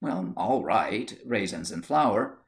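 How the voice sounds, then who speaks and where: A man's voice: lowish voice, expressive, slow pace, creaky, full character voice. A man voicing a placid, stolid husband in a storybook tale, dry and matter-of-fact. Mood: unruffled.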